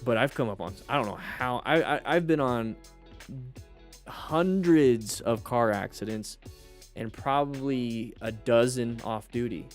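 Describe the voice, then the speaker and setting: Male livestream host, mid-range voice, blunt and joking, animated casual chat with viewers, music underneath. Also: medium pitch, a little slow. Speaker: firefighter.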